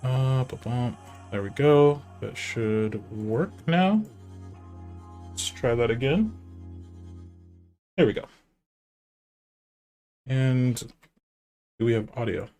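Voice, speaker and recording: A man's voice: medium pitch, animated, takes it slowly, long pauses, a little mellow, faint music behind. A man with a nasal voice streaming live, chatting casually and rambling to himself and his chat while he sets up.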